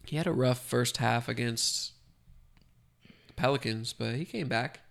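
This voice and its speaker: Congested young man with gravelly voice. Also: mid-range voice, a little slow. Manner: rambling, jokey, self-deprecating. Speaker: podcast co-host. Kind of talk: casual chat.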